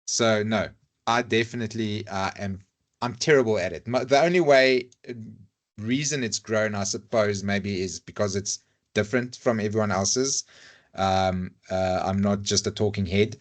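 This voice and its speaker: A man's voice: lowish voice, normal speed. A man whose first language is Afrikaans, speaking English with an Afrikaans accent as a podcast guest, candid and self-deprecating, with hesitant restarts. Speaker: podcast guest, rugby YouTuber. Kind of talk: casual podcast chat. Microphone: lavalier mic.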